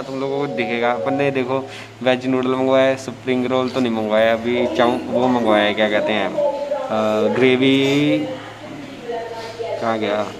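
A man's voice: mid-range voice, low-quality recording. A man, chatting casually and teasing his companion as he films a food vlog in a small restaurant. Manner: chatty, teasing, mixes a little English. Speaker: food vlogger.

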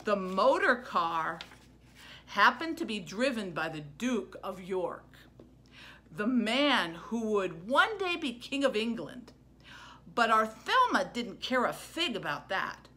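A middle-aged woman presenting a children's book for a library, with an expressive storyteller's delivery. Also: medium pitch, slow pace. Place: small plain room indoors.

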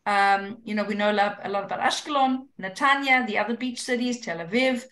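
A woman's voice: medium pitch, brisk, a bit bright. Earnest woman hosting a video call. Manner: earnest, encouraging. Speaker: host. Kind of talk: casual chat.

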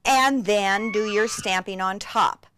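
A woman presenting a craft demonstration in an excitable, gushing way, with emphatic drops into a breathy near-whisper. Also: medium pitch, slow, slight background noise.

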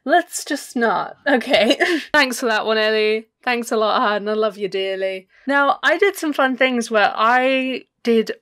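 A woman's voice: fairly high pitch, a little slow, hardly any pauses, a bit bright, no echo, clean studio sound. A young adult woman vlogger giving casual, self-mocking reaction commentary from her bedroom, laughing often. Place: bedroom, indoors.

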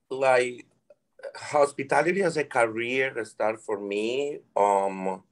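A man in his forties from Colombia, Spanish his first language, speaking English with a Colombian accent in an interview, slow and careful as he searches for words. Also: on the low side. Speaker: restaurant worker guest. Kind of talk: interview conversation.

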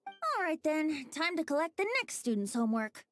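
A carefree young male bard from a video game, voiced by a woman, talking in a high, lilting voice that rises and falls playfully. He sounds light and teasing. Soft game music hums in the background. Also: very animated, normal speed. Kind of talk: game voice acting.

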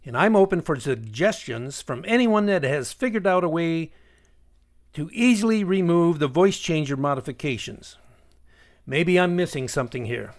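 A man narrating an instructional software screencast as its host, explaining step by step in a measured way. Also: a little high, lively intonation.

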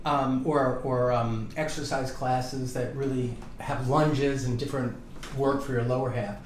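A man's voice: mid-range voice, steady tone, measured pace. A man in his fifties, a chiropractor, casually explaining hands-on treatment to a patient as he works. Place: small treatment office, indoors. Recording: echoey room, background noise.

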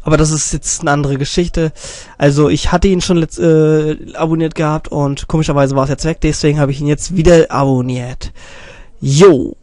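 A man's voice: on the high side, fairly even intonation, moderate pace, darkish tone, dry recording. A young man with a slight cold reviewing a channel from his computer, rambling and correcting himself, recorded on a desk stand microphone. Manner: rambling, self-correcting, many fillers. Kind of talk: casual screen-recorded commentary.

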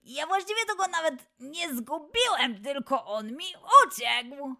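A woman's voice: high-pitched, expressive, unhurried, bright, forceful tone, full character voice. A woman voicing a cheeky, defensive little boy in a children's audio story, childish and self-justifying. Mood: sheepish, defensive.

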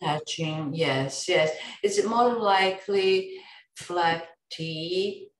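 Woman, Bosnian native speaker, hesitant careful repetition, roomy echo, very slow. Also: lowish voice. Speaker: learner repeating drills. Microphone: webcam on a video call. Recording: echoey room on a video call.

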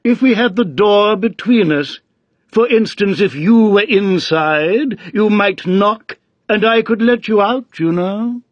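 A solemn storybook frog footman, drawls every phrase with stubborn, dim indifference. The voice sits well above his natural register and moves very slowly, in a dry, slightly muffled recording. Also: sits high, no room sound, pitched above the performer's own voice. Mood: pedantic, unbothered. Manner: slow, drawn-out, solemn, stubbornly unhurried delivery. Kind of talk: children's storybook character voice.

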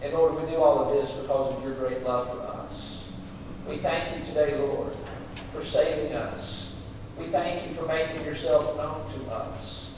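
A middle-aged man, a pastor, reading scripture and praying aloud in a reverent, measured way with pauses between phrases. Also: a little high, fairly even intonation. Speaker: senior pastor. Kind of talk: scripture reading and public prayer. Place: large church sanctuary, at the pulpit. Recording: echoey church hall, distant, faint noise.

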